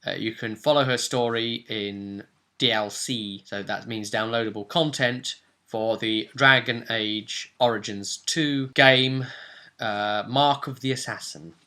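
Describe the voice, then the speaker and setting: A man with a very bright, forceful voice hosts a role-play story recap. His delivery is theatrical and playful, like a game master talking casually to his viewers. The pitch sits in a middle range for a man. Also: steady tone, unhurried. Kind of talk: casual, jokey story recap for a video audience.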